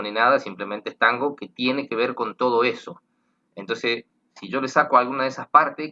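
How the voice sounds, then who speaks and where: A man's voice: medium pitch, bright, forceful tone, muffled recording. An Argentine man, a tango teacher, explaining earnestly and rambling with repeated words. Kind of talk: explanatory talk.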